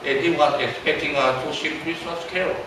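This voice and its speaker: Older Taiwanese pastor in an echoey hall. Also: male voice, a little high, steady tone. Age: 70s. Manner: halting, repeats words, asks the audience questions. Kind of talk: storytelling sermon. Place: church hall. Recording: echoey church hall from far off with background noise.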